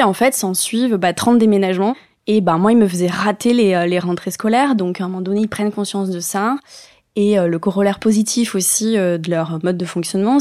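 A woman's voice: on the low side, darkish tone, no room sound. Middle-aged french-born woman, thoughtful, lots of ums, dead dry sound. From France, born in Bayonne. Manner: reflective, many hesitations. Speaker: guest. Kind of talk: casual interview talk.

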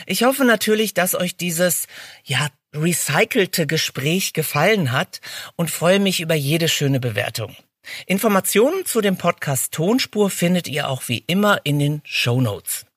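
A man's voice: on the high side, fairly bright tone, husky, no echo, studio quality. A man hosting a podcast, addressing the listeners directly as presenter. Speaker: podcast host.

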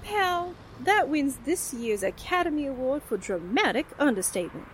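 A woman acts out a wisecracking newspaper assistant in a forties radio drama. Her voice is high and very animated, brassy and slangy. Faint vehicle ambience runs behind her. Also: normal speed, a little hiss or hum, rough recording. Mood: sarcastic, incredulous. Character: wisecracking, incredulous young female newspaper assistant. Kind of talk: 1940s radio drama acting.

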